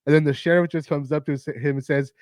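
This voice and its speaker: A man hosting a movie countdown, his voice raspy and tired, rambling casually with lots of swearing, fillers and restarts. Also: on the high side, fairly even intonation, fast, darkish tone, no echo, studio quality. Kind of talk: casual rambling film talk.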